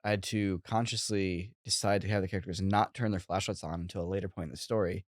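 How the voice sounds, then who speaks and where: A soft-spoken, quiet man co-hosting a casual podcast chat. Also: low voice, steady tone, fast, dry recording, clean studio sound. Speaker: podcast co-host.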